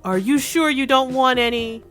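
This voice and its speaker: A woman's voice: high voice, moderate pace, a bit bright, faint music behind. A woman playing a doting, cheerful mother in an audio drama, sweet and coaxing. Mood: cheery, coaxing. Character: doting, cheerful mom calling her child to eat.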